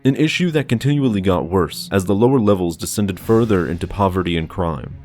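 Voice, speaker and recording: Guy with a lowish voice reading a scripted explainer, measured, bit of music behind him. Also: darkish tone. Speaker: narrator of a lore explainer channel. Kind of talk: scripted narration. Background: background music.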